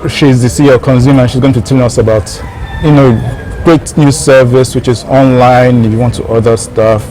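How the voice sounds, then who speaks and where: A man's voice: mid-range voice, darkish tone, poor audio. A man hosting an informal online talk show, addressing viewers directly and repeating phrases for emphasis. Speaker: show host and founder.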